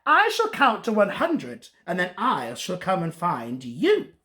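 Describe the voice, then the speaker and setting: Storybook villain: mocking, gloating male wizard, acted by a storyteller, theatrical, very animated pitch swings. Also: unhurried, exaggerated voice. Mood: commanding.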